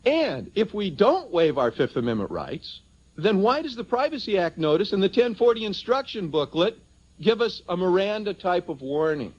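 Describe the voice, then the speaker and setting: A man's voice: sits high, very animated, moderate pace, a little mellow. A man speaking to an audience at a seminar, persuasive and emphatic, telling anecdotes and posing rhetorical questions. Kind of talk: persuasive public seminar talk, addressing the audience. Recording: dull lo-fi seminar tape.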